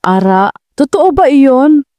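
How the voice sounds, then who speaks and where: Woman voicing gentle, bewildered romantic leading man. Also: high-pitched, very animated, slow, no echo, voice pushed up, heavily acted. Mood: confused, gentle. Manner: gentle, earnest. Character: confused, gentle male CEO with lost memory.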